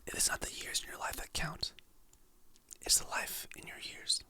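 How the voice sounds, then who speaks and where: A content creator softly whispering motivational quotes and affirmations in a slow, soothing ASMR style. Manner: soft whisper, slow and soothing, reads quotes then names the author.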